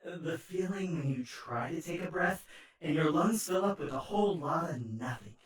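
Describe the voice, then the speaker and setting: A man's voice: fairly high pitch, expressive, normal speed, a little hiss or hum. A man playing a smug, murderous artificial intelligence in an audio drama, chatty and taunting, with an echoing machine treatment. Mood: gloating menace. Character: smug rogue station AI, gleefully murderous, theatrical. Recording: echoing, distant-sounding.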